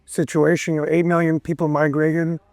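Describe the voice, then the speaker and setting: A man's voice: on the high side, level tone, fairly quick, darkish tone, no room sound. A man in his thirties from Miami, talking loosely as an interview guest in a café, full of "you know"s. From Miami, USA. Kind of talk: casual interview.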